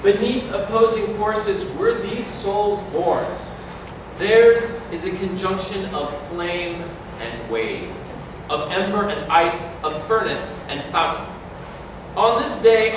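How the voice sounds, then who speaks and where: A man playing an exalted officiant of a mystical wedding, reciting solemn ceremonial verse in a declamatory way. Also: high voice. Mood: solemn, incantatory. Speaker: actor in a staged reading. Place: large community hall, staged reading. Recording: echoey hall from far off, noisy.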